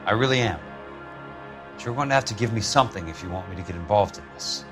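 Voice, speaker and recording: An actor playing a tough, skeptical American insurance investigator in a noir video game, brusque, dry and sardonic. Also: male voice, on the low side, animated, fast, over music, lightly acted. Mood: wry, probing. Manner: blunt, dry, probing.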